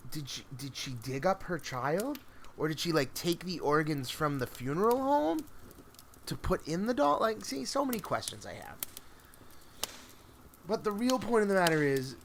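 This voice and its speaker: A man's voice: fairly high pitch, very animated, normal speed, creaky, fairly bright tone, a little hiss or hum. A man hosting a storytelling show, talking in a casual, rambling and informal way. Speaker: podcast host and storyteller. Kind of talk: casual live stream chat between stories.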